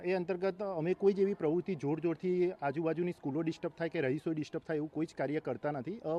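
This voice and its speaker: A man's voice: high-pitched, warm tone, highs cut off. A man with a Gujarati accent, a school principal, answering an interview formally and mixing in English words. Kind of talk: interview answers explaining his side.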